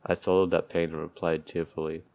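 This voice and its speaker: A man with a deep, creaky voice reads visual novel text aloud as he plays. He breaks in with crude, sardonic, sweary asides. The mic is dull and lo-fi, with game music behind him. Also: little pitch movement, fairly quick. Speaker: streamer playing a visual novel. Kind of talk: let's play, reading game text aloud with commentary.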